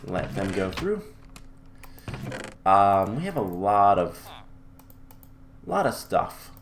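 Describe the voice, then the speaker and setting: A man giving relaxed, chatty commentary over a modded game, his voice a little off because he is coming down with a cold. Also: lowish voice, slow, slight background noise. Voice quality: a little off from illness. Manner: relaxed and chatty with many ums. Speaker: gameplay commentator. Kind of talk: casual let's-play commentary.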